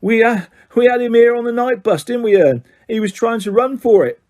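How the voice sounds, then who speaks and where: A man's voice: very high voice, brisk, darkish tone, voice pushed up. A man voicing an eager, gossipy young male wizard bus conductor in an audiobook. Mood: excited gossip. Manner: eager, gossipy, fond of tag questions. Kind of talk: audiobook character voice.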